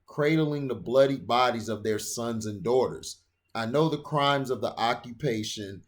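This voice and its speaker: Man reading an essay aloud, deliberate. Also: mid-range voice. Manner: steady, deliberate reading with occasional stumbles on long words. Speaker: channel host reading an opinion essay aloud.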